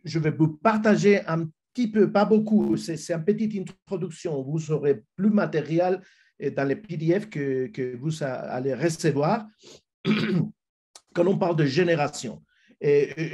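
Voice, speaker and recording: A man with a Spanish accent, Spanish being his first language, giving a church webinar presentation over a video call, halting with many restarts. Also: a little high, darkish tone. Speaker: youth ministry speaker.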